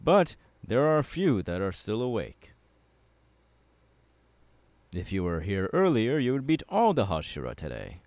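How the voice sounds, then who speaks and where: A man playing a confident, fiery anime swordsman mentor in an ASMR audio roleplay, talking to the listener with measured, dramatic pauses. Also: on the low side, huge pitch swings, a little mellow, no echo, heavily acted. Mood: welcoming, instructive. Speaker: voice actor.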